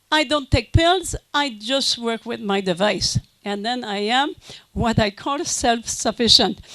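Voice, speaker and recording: A French Canadian woman, a native French speaker, giving a conference lecture in a halting way with many fillers and restarts. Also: high voice, sharp tone, no echo, poor audio. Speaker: guest speaker.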